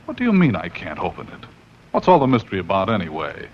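An actor playing an excitable, uneasy man telling his own horror tale, dramatic and intense, in 1940s radio style. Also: sits low. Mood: curious, stubborn. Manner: dramatic, intense, with suspenseful pauses. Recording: dull, slightly noisy 1940s radio recording.

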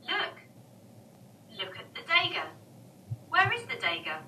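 A woman voicing a cheerful cartoon lady who slowly teaches made-up words to babies, played back in an experiment. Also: very high voice, huge pitch swings, bright, forceful tone, slight background noise, voice pushed up, full character voice. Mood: coaxing. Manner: slow, sing-song speech aimed at babies. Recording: echoey, distant playback with faint ambience.

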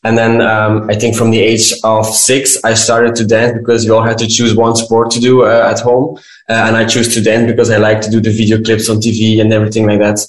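A man's voice: on the low side, completely flat delivery, brisk. A man in his late twenties from western Belgium with a Belgian accent, talking as an interview guest. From west Belgium. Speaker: podcast guest, dancer and beautician. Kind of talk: interview answers. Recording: echoey room.

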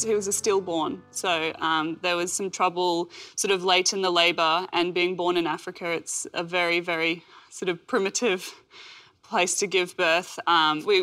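A woman's voice: lowish voice, fairly bright tone. A woman, answering interview questions in an earnest, reflective way. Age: 20s. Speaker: interview guest, athlete.

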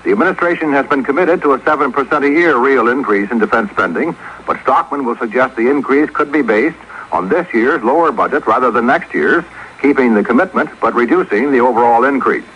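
A man's voice: medium pitch, fairly quick, fairly bright tone, muffled recording, a little hiss or hum. An American man delivering a scripted radio report as a news correspondent.

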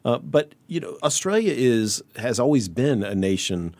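A man hosting a radio interview in a studio, asking relaxed, conversational questions. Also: medium pitch, normal speed, no echo. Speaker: radio host.

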